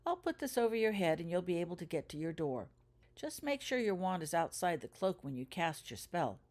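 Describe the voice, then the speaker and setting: Schoolgirl witch played by a woman narrator, practical, brisk, secretive, instructing, storybook character. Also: lowish voice, big pitch swings, darkish tone. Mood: cautious, instructing.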